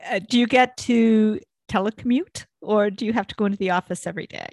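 A woman with a mid-pitched voice speaks as the host of a casual interview. The sound is dry, with very little room to it. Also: fast, darkish tone, no echo.

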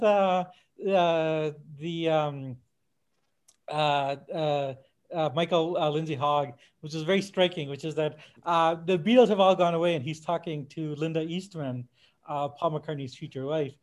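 Man, high voice, casual chat, anecdotal, hesitant, fragmented, searching for words. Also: measured pace, a little mellow. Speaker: podcast guest or co-host discussing a film. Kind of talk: casual conversation, retelling anecdotes.

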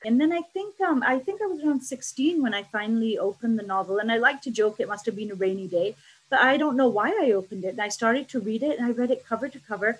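A woman from Pakistan, a guest author who talks fast and often restarts her sentences in a casual interview. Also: fairly high pitch, animated, poor audio. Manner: fast, restarting, stumbling over words.

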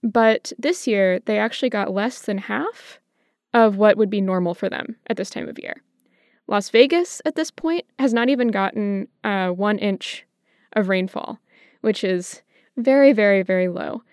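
An American woman with a smooth, clear voice and a very dry sound. She co-hosts a conversational show, laying out explanations in a measured way. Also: medium pitch, a little mellow, dry recording. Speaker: podcast co-host.

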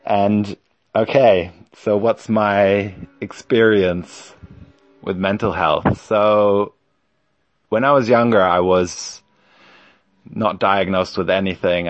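A man's voice: deep voice, dry recording, highs cut off. A man in his forties hosting a solo podcast, talking in a hesitant, rambling way with lots of ums and yeahs. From Germany. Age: 40s. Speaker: podcast host.

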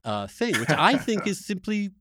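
A man hosting a conversational interview, halting and repeating words as he works through an argument. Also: sits high, big pitch swings, noisy background. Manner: halting, repeats words while arguing a point. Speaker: podcast host.

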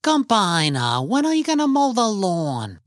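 Seeking an old immigrant wife: sharp tongue, Yiddish-American lilt, high animated delivery, storybook narration. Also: male voice, measured pace, no echo, clean studio sound, full character voice. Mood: nagging. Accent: Yiddish-inflected American English. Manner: querulous, rhetorical questioning.

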